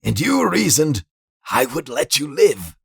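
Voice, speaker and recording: A man voicing a furious, threatening avenger in an audiobook, forceful and intense. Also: medium pitch, very animated, measured pace, creaky, slightly rough, pitched above the performer's own voice, heavily acted.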